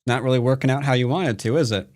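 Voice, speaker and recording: A man's voice: medium pitch, fast talker, a little mellow. A man from the United States commenting live on his own Go game, playful and teasing toward his opponent. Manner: playful trash talk. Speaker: streamer and Go teacher.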